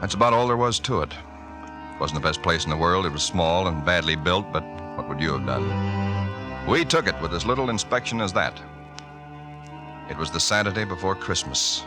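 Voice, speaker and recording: An actor playing a tired, down-to-earth young husband who also narrates, in 1940s radio-drama style. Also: male voice, low-pitched, animated, moderate pace, long pauses, over music. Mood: recounting. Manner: plain, matter-of-fact storytelling. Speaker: narrator and lead character.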